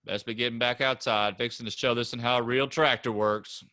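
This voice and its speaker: An actor reading the part of a cocky, teasing young farm son in a staged play reading. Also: male voice, mid-range voice, fairly even intonation, a little fast, pushed and bright, close to an everyday voice. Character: Ray, brash farm son, young man.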